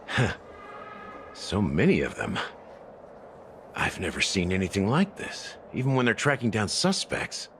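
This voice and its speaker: An actor playing a weary, regretful officer in a video game, speaking gravely and deliberately with dramatic pauses. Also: male voice, lowish voice, wildly swooping pitch, noisy background, heavily acted. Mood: guarded, low-key. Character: weary, world-worn male officer haunted by regret. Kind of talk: English video game voice acting. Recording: dramatic music behind the voice. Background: loud background music.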